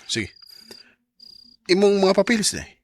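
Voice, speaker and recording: An actor playing an agreeable, sheepish husband in a radio drama, with a Visayan Filipino accent. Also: male voice, high voice, big pitch swings, a little slow, full character voice. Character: easygoing husband, agreeable and sheepish when sober.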